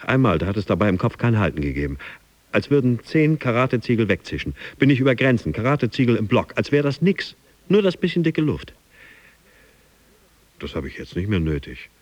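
A man's voice: lowish voice, sing-song, brisk, no echo. A man playing a stressed businessman in an audio drama, brooding aloud in fragmented confessional monologue. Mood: agitated fantasizing. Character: stressed sales-rep businessman, monologuing gym client.

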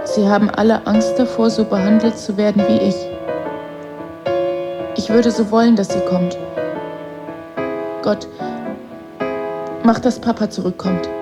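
A woman's voice: medium pitch, natural voice. An actress playing a lonely, bullied schoolgirl who prays and pleads, in a radio drama.

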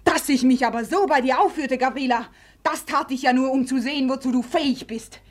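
A woman's voice: high voice, brisk, close to an everyday voice. An actress in a 1950s German radio play, playing a proud, mocking society woman with an imperious, theatrical delivery. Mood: bitter, scornful.

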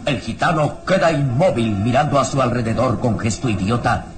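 A man's voice: medium pitch, moderate pace, very noisy. A man narrating a radio drama from a script in a theatrical, ominous and florid manner.

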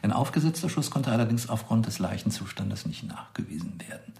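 An actor playing a chatty, pedantic forensic pathologist in a naturalistic radio crime drama, explaining with little asides. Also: male voice, fairly low pitch, lively intonation, fairly quick, creaky, darkish tone, roomy sound. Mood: matter-of-fact, explanatory.

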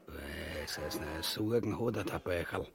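A man's voice: extremely low pitch, unhurried, creaky, over music, exaggerated voice. An actor playing a vulgar, blustering, cowardly usurper king in a farcical radio drama, bombastic and grumbling with a Viennese accent. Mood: grumbling, sullen. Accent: Viennese Austrian. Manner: bombastic, grumbling, stammering in fluster.

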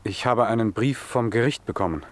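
A man's voice: lowish voice, brisk, close to an everyday voice. An actor playing an urgent, insistent young man in a radio drama. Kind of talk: German radio play acting.

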